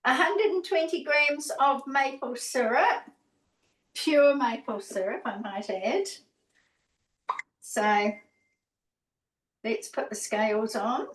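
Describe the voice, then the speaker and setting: Chatty high-voiced woman demonstrating a recipe. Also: takes it slowly, long pauses, recorded from a distance. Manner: chatty, rambling, self-correcting. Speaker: cooking demonstrator. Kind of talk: casual cooking demonstration. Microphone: video call. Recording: roomy, from away from the mic.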